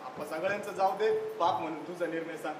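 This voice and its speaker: A man's voice: a little high, steady tone, fast, close to an everyday voice. A man playing an idealistic, stubborn younger brother in a live stage play, speaking in an impassioned, declamatory way. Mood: insistent. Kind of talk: live one-act stage play acting. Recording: echoey distant stage recording, faint noise.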